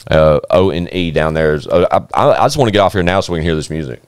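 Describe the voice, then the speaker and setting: A low-voiced man hosts a casual podcast. His voice is smooth and close to a dry studio mic. He talks in a relaxed way, with a lot of fillers and casual swearing. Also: quick pace, dry recording. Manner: laid-back, swears casually, lots of fillers. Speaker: podcast host.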